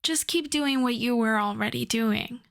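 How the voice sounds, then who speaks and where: A woman playing a suave, self-assured female supervillain in a roleplay audio drama, flirting and teasing in an unhurried way. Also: on the high side, gravelly, a bit bright. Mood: earnest, flirtatious. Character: suave flirtatious female supervillain courting her costume maker.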